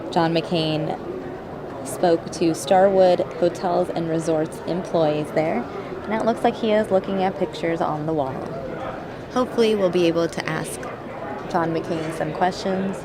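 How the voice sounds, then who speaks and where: A woman anchoring live news coverage, filling time and guessing at what comes next with the odd "uh". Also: low voice. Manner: speculative, filling time with hedges and "uh". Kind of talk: live news commentary over pictures.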